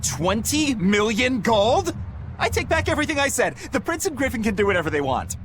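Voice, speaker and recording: A man playing an excitable, fretful young pirate crewman in a video game. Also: extremely high pitch, brisk, background noise. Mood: excited. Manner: hesitant, complaining, excitable.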